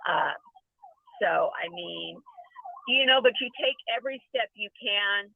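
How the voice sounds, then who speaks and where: A woman's voice: medium pitch, animated, a little slow, vocal fry, no room sound. A woman speaking as a talk show guest in casual but serious chat, over lo-fi call audio.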